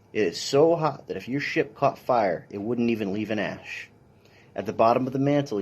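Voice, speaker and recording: Casting: man with a lower, husky voice, reading a picture book to children, instructional and a little dry. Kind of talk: read-aloud of a children's science picture book.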